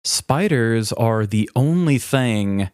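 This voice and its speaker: A man's voice: mid-range voice, animated, slow, a little mellow, clear, resonant tone, no room sound, clean studio sound. An American man co-hosting a podcast in casual comedic chat, with a playful, mock-serious way of talking. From United States. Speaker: podcast co-host.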